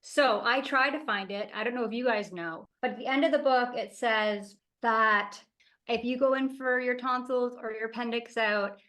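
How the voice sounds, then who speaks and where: A woman from Canada in casual book club chat, animated and quick, telling personal stories. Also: on the high side. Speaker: book club podcast member.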